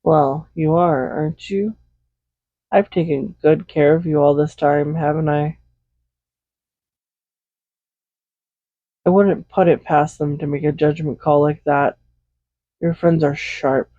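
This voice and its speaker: A man playing a smug, possessive villain who dotes on a captive hero in an intimate audio roleplay, soft-spoken, unhurried and condescending. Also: fairly high pitch, fairly even intonation, no room sound, dull audio. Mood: tender, possessive. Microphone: close USB condenser microphone.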